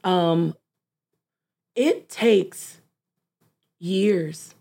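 A woman's voice: fairly low pitch, drawn-out words, gravelly, warm tone. A woman therapist co-hosting a casual discussion, reflective, who often speaks in a client's voice to make a point. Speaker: therapist and co-host.

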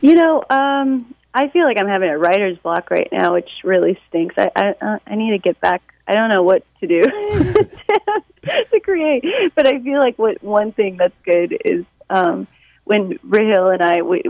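Casting: American woman, musician guest, animated casual talker, gravelly creak, close and slightly muffled. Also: mid-range voice, unhurried, dry recording. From Detroit, United States. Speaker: musician and songwriter being interviewed. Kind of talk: casual chat, interview answers.

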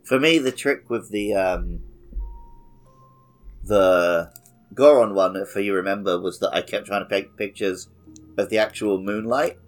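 A man's voice: on the low side, unhurried, long pauses, soft background music. A man co-hosting a casual gaming podcast, chatting with dry, wry remarks. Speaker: podcast co-host.

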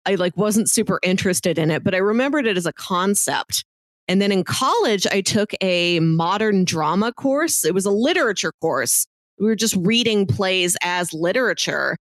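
A woman's voice: mid-range voice, lively intonation, moderate pace, dry recording. Woman podcaster in a dry, close recording. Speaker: podcast co-host. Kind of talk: casual film discussion.

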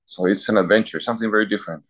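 A man's voice: on the low side, rapid-fire, fairly bright tone. A man from Peru, a university chaplain priest answering interview questions in a thoughtful, example-filled way. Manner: thoughtful, listing examples, clears throat.

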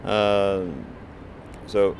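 A man with a very low voice, answering slowly and hesitantly, stopping often on fillers and restarting his sentences. Clear traffic and street noise run behind him. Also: completely flat delivery. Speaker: striking food courier. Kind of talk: interview answers.

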